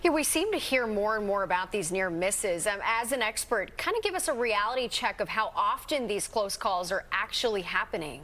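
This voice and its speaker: A woman's voice: mid-range voice, expressive, normal speed, few pauses, pushed and bright. A woman with an American accent presenting and interviewing as a television news anchor.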